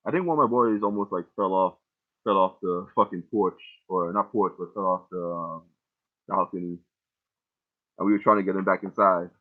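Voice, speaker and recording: Low-voiced young man on tinny audio. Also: normal speed. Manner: loose, rambling, heavy casual profanity. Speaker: rapper explaining his lyrics. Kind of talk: casual line-by-line lyric breakdown.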